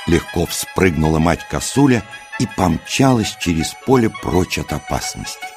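A man narrating a scripted children's nature tale as a storyteller, unhurried and expressive, putting questions to the listener. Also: deep voice, gravelly. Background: music.

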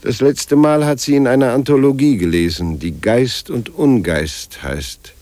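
An actor as a plain, restrained reporting narrator in a 1950s radio drama. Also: male voice, mid-range voice, measured pace, warm tone, no echo, natural voice. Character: restrained male narrator reporting on a reading woman.

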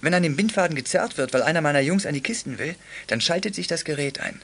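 An actor playing a short-tempered, gruff crime boss in a radio play, scolding and commanding. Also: male voice, a little high, lively intonation, fast, a bit bright, full character voice. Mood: suspicious. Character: bossy, short-tempered male crook, boss of scrap-metal thieves. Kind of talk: 1980s German youth radio play acting. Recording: noisy old cassette radio play.

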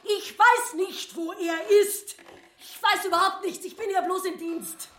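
Scared defiant maid, extremely high, piercing, protesting, radio drama, echoey room. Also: female voice, steady tone, unhurried. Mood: frightened protest. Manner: shrill, protesting. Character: frightened, defiant female servant under interrogation. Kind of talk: German radio play acting. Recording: echoey room, faint noise.

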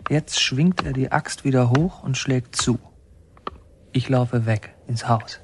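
A man acting as first-person narrator of a radio drama, an anxious son telling memories in hushed, intent short phrases. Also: medium pitch, takes it slowly, noisy background. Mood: tense, secretive. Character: son recounting tense childhood memories, anxious and secretive.

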